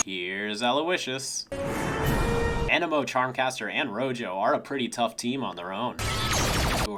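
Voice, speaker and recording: A man giving casual, reactive breakdown commentary as a video host, informal and prone to swearing. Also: fairly low pitch. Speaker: video host, animation reviewer.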